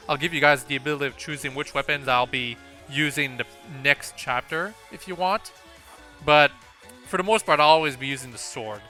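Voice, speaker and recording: A man's voice: medium pitch, pushed and bright. A man hosting a casual video game playthrough, thinking aloud in a hesitant, rambling way. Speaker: gaming video host. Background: video game music.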